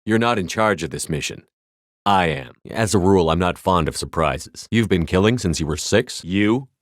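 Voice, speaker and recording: Tough space soldier hero for a video game, deep voice, blunt and commanding, super dry studio sound. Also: male voice, expressive, moderate pace, dry recording. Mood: firm, controlled. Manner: firm, clipped, authoritative delivery. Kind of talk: scripted video game voice acting.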